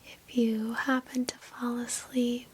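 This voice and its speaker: A woman leads an ASMR meditation in a soft, breathy whisper. She speaks extremely slowly and keeps a fairly even tone, gentle and reassuring throughout. There is a faint noise in the recording. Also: on the high side. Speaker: ASMR host guiding a meditation. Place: indoors, sitting on a bed.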